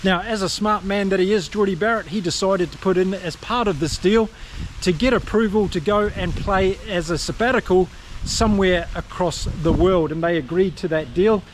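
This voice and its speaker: A man's voice: sits high, normal speed, a little hiss or hum, low-quality recording. A man with a New Zealand accent giving casual, upbeat rugby commentary straight to his viewers. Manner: chatty, upbeat, addresses viewers directly. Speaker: rugby vlogger. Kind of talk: casual solo commentary to camera.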